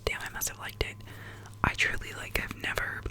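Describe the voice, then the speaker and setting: A woman's voice: normal speed, sharp tone. A young woman whispering in her apartment, chatting casually as she tries out makeup, with lots of "like" and the odd swear word. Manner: whispers the whole time. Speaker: ASMR vlogger. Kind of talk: whispered ASMR makeup chat.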